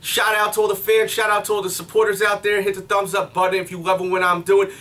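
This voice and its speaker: A man's voice: sits high, monotone, fast talker, bright, forceful tone. A man in his thirties with an American accent hosting a casual sneaker review, using slangy hype-man patter and catchphrases, recorded indoors a few steps from the camera. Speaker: sneaker review show host. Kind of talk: casual vlog product review. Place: small indoor room.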